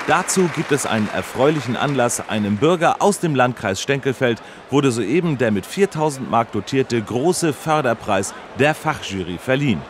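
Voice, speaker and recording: An actor playing a formal, earnest radio reporter delivering a wordy live report in a comedy sketch. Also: male voice, mid-range voice, noisy background, natural voice. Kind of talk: parody live news report.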